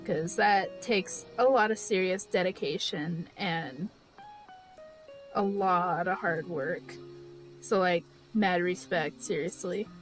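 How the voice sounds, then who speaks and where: A woman's voice: on the low side, slow, soft background music, rough recording. Chatty Californian woman streaming her drawing. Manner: rambling and chatty, with restarts and frequent "like" and "you know". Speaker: artist streaming live. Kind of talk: casual chat while drawing on stream.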